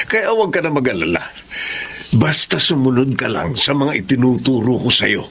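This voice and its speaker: A man's voice: medium pitch, sing-song, moderate pace, fairly bright tone. An actor playing a cunning older Filipino father-in-law and politician in a radio drama, smug and reassuring as he schemes. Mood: smugly reassuring.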